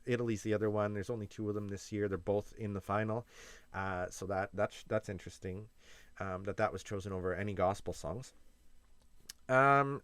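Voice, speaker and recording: A young man from Canada talks through his reactions in a loose, chatty way, hedging and restarting as he weighs his opinions. His voice sits low and comes across smooth and resonant. The sound is close and dry, with no room around it. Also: a bit bright, no echo. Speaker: YouTube reaction host. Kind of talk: casual reaction commentary.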